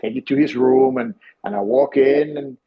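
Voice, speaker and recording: A middle-aged man with a Finnish accent, a podcast guest who tells stories in a halting, restarting way. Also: medium pitch, gravelly, slightly rough. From Finland. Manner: halting, many restarts and fillers. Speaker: guest, former team physiotherapist. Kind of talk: conversational storytelling. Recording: dull, lo-fi sound.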